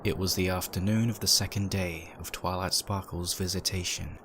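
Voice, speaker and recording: A man acting as the brooding, uneasy narrator of a dark horror audio drama, reading in a dramatic scripted style. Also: low voice, fairly even intonation, moderate pace, natural voice. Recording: noisy, with background ambience effects.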